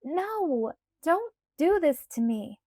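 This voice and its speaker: A woman's voice: sits high, very animated, takes it slowly, mellow, no echo, studio quality, pitched above the performer's own voice, exaggerated voice. A woman voicing an exasperated, stubborn young woman who groans and protests.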